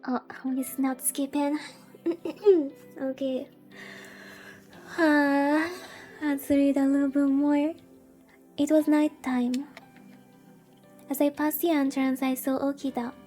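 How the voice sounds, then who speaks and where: Woman, high voice, soft mellow tone, casual game streamer, informal read-aloud and commentary, faint music behind. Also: little pitch movement, slow, pauses often. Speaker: streamer reading a visual novel aloud. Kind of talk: casual livestream reading with commentary.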